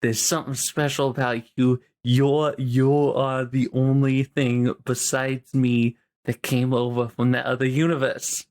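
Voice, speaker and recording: A man's voice: mid-range voice, little pitch movement, measured pace, hardly any pauses, a little mellow, natural voice. A man voicing a young adventurer who speaks with awed, giggly devotion to a magic coconut.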